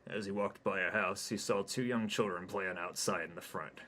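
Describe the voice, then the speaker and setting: A man with a low, bright and forceful voice narrates his own absurd comic story in a deadpan, rambling way. A faint hum sits behind him. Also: moderate pace.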